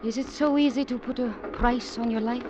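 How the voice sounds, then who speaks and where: A solemn young woman in danger, played by a woman in a 1940s radio play. She speaks formally and quietly in a high voice. The recording is dull and lo-fi with clear hiss underneath. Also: steady tone, fairly quick, lightly acted. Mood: grave. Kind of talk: 1940s radio drama acting.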